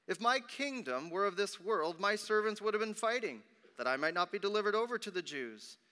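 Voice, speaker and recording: A man voicing a calm, resolute holy prisoner in a scripture reading, with measured, solemn declarations, in an echoing hall. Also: high-pitched, clear, resonant tone, pitched above the performer's own voice. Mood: earnest. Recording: long reverberant hall echo.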